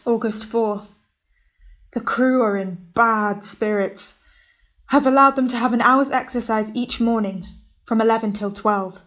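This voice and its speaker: A woman's voice: on the high side, measured pace, a bit bright. A woman playing a stern, commanding ship captain who also narrates diary entries in a radio play.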